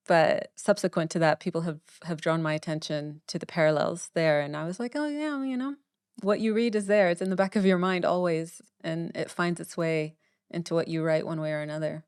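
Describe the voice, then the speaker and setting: Smooth-voiced woman writer, close and dry. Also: lowish voice, steady tone, a little fast, darkish tone, no echo. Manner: thoughtful, repeats words while forming ideas. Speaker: writer, podcast guest. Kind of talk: candid conversational interview.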